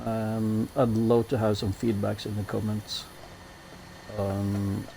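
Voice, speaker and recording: A man's voice: on the low side, completely flat delivery, slow pace, creaky, mellow, a little hiss or hum. A man with a Norwegian accent gives easygoing, chatty commentary as a game streamer, with self-mocking jokes. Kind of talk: casual commentary while playing a building game.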